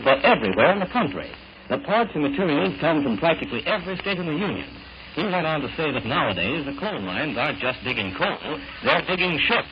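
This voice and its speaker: Lively 1940s male announcer, noisy old recording. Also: a little high, muffled recording. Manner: formal, explanatory address to the audience. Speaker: radio announcer. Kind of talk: 1940s radio sponsor announcement, scripted.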